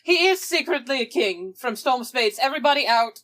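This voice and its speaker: Higher-voiced woman playing a tabletop game. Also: animated, fairly quick, a bit bright. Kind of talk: casual table talk narrating own character.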